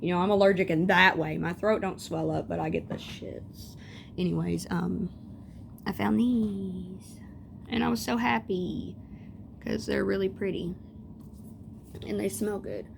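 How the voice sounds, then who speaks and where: A woman's voice: mid-range voice, steady tone, a little slow, vocal fry, long pauses. A young woman with a scratchy voice, chatting casually and rambling through tangents as she shows off makeup at home. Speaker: beauty vlogger. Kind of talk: casual chat.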